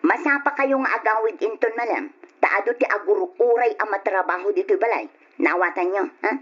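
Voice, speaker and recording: An actress with an Ilocano accent playing a bossy, stingy aunt with a sharp, commanding manner in a radio drama. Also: female voice, medium pitch, moderate pace, slightly rough, lightly acted. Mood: bossy, scolding. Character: bossy, stingy aunt scolding her young wards. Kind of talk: Ilocano old-time radio drama acting. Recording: dull lo-fi old radio drama recording.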